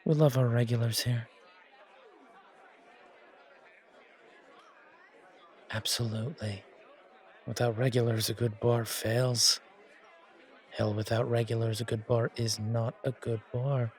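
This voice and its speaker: A man's voice: medium pitch, level tone, normal speed, close to an everyday voice. Man playing a friendly bartender over crowd chatter. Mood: easygoing, chatty. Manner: chatty, hesitant, soothing. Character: friendly, reassuring male bartender at a supernatural bar. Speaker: audio roleplay voice actor. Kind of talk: casual, naturalistic one-sided roleplay acting. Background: bar crowd chatter.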